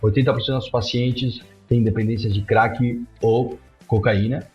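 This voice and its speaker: Man, animated, in a roomy dull recording. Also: medium pitch, moderate pace, faint music behind. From São Paulo, Brazil. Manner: animated, frequent restarts and "tá?" tags. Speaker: guest, psychedelic clinic CEO. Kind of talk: conversational interview answers. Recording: roomy, dull remote audio.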